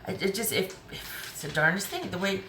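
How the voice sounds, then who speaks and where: A woman with a hoarse voice giving a chatty, rambling tarot reading, often restarting her sentences. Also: mid-range voice, very animated, normal speed, a bit bright, roomy sound, slight background noise. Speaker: tarot reader.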